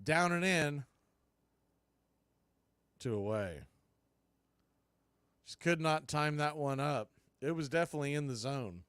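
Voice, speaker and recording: A man's voice: on the high side, animated, takes it slowly, long pauses, a bit bright, clean tone, no echo. A man doing live baseball play-by-play as a stream host, mixing clipped calls with folksy, joking asides and casual chat.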